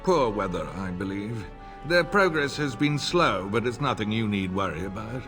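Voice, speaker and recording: An actor playing a smug, middle-aged nobleman and war veteran in a video game, formal and sardonic, with a husky voice. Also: lowish voice, expressive, normal speed, lightly acted. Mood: smooth, condescending. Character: older nobleman, old war comrade, smug, wry, insincerely courteous. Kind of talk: video game cutscene acting. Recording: long reverb, music underneath.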